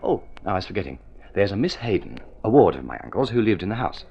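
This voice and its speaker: An actor playing a spoiled, idle young gentleman in an old radio drama, breezy and exclamatory, full of upper-class slang. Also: lowish voice, very animated, fast, gravelly, husky, heavily acted. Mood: flippant, chatty. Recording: dull vintage radio recording.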